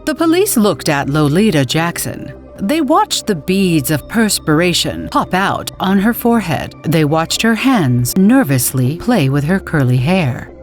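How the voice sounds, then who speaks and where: A woman's voice: on the low side, sing-song, unhurried, faint music behind. A woman narrating a horror story in a dramatic, suspenseful old-time radio style.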